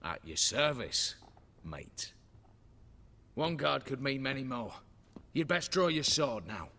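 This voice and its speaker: An actor with a British accent playing a brash, ruthless, hot-tempered heist ringleader in a video game, curt and commanding with a gravelly voice. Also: male voice, mid-range voice, very animated, slow pace, pushed and bright, heavily acted. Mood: curt, impatient.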